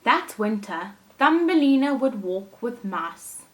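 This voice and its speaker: A woman teacher reading a storybook aloud to young children, in a deliberate, expressive way with dramatic emphasis. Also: fairly high pitch. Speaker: primary school teacher reading to her class.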